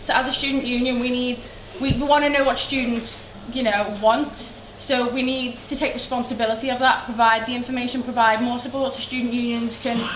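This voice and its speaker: A young woman with a Liverpool accent giving an earnest election speech, with many pauses and restarts. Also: on the high side. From Liverpool, England. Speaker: election candidate. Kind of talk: hustings speech. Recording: echoey hall, faint background noise.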